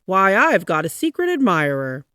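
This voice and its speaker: A woman's voice: mid-range voice, sing-song, normal speed, fairly bright tone, smooth, no echo, clean studio sound. A woman voicing a shy, kindly man in a children's storybook, speaking politely, earnestly and with emphasis. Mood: delighted. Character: shy lonely man turned cheerful and kindly. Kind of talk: storybook character reading.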